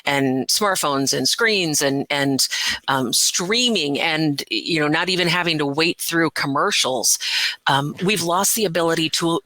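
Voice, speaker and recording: A middle-aged woman talking as an interview guest, conversational, passionate, earnest and expressive. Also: low voice, unhurried, no room sound. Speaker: interview guest, voiceover artist and audiobook narrator.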